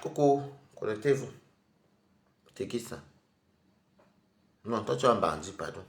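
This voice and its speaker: A man's voice: fairly low pitch, lively intonation, a little slow, creaky, echoey room. A man with a head cold, chatting casually with viewers on a live stream from home while he eats. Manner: chatty and rambling, addressing viewers directly.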